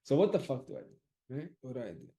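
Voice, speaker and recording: A man's voice: medium pitch, very animated, fast talker, mellow. A man running a live audience poll about class times, casually thinking aloud, loose and profane, often repeating himself.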